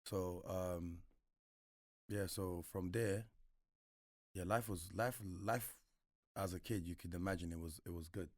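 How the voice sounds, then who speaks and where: A young man from Congo with a London accent, whose first language is French, telling stories of his childhood in a relaxed, laid-back way. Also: sits low, measured pace, pauses often, no room sound, studio quality. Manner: relaxed, laid-back, many fillers like "you know what I'm saying". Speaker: guest. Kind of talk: casual storytelling interview.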